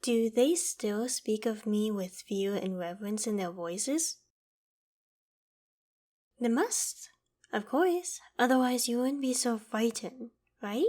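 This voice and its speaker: A woman playing an ancient, haughty dragon in a theatrical ASMR roleplay, with deliberate, imperious phrasing. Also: a little high, darkish tone. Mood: excited, flustered. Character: ancient female dragon, haughty, menacing, curious about humans. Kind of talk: ASMR roleplay, dramatic monologue to the listener.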